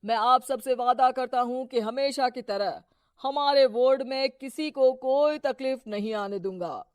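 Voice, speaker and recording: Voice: high-pitched, measured pace, clear, resonant tone, no echo. A performer playing a domineering, corrupt middle-aged male politician husband in a Hindi cartoon moral story, bossy and dismissive. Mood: formal, speechifying.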